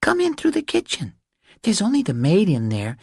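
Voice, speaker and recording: A man's voice: sits high, huge pitch swings, normal speed, vocal fry, darkish tone, slightly rough, dry recording, clean studio sound, pitched above the performer's own voice, heavily acted. A man voicing a sweet, insistent little girl with a childlike, pleading manner in an audiobook.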